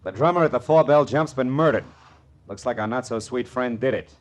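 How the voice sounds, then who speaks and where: An American actor playing a tough, sardonic private detective in a 1940s radio drama, terse and wry. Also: male voice, medium pitch, fairly quick, close to an everyday voice. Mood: brisk, pressing. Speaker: lead actor and narrator. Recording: vintage radio drama with music.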